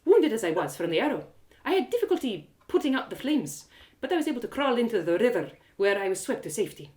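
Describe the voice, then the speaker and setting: A woman's voice: on the high side, sing-song, quick pace, darkish tone. A woman voicing a frank, inquisitive former woman thief in an audio drama, talking in a chatty, knowing, probing way. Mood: rueful.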